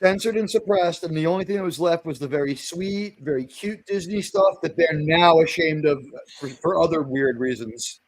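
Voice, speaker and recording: A man with a very loud voice giving emphatic opinions in a casual stream chat. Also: a little high, normal speed, hardly any pauses, dry recording, poor audio.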